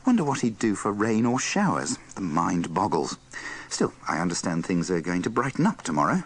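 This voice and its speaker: A man's voice: low-pitched, moderate pace, husky. A man presenting a bloopers compilation in dry, wry, scripted comic narration. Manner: wry, deadpan.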